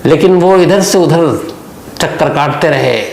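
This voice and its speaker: A man hosting a true crime story, explaining it deliberately in a gravelly voice and putting questions to his audience. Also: fairly high pitch, a little mellow. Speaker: host narrating true crime stories. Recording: echoey room, faint background noise.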